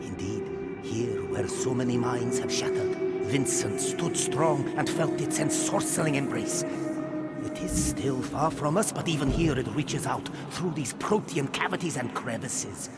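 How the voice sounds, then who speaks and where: An actor playing a sinister, cryptic mystic mentor in a video game, with grandiose, deliberate phrasing. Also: male voice, mid-range voice, huge pitch swings, loud music behind the voice. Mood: brooding, reverent. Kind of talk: dramatic video game cutscene acting.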